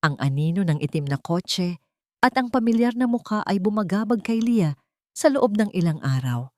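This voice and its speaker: A woman's voice: mid-range voice, expressive, normal speed, mellow, dry recording. A woman reading a scripted dramatic story as its narrator.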